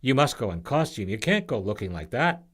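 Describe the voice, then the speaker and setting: A man's voice: medium pitch, very animated, fairly quick, fairly bright tone. A man voicing an imperious, eccentric spirit woman in a storybook, with a bossy, fussy manner. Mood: insistent, fussy.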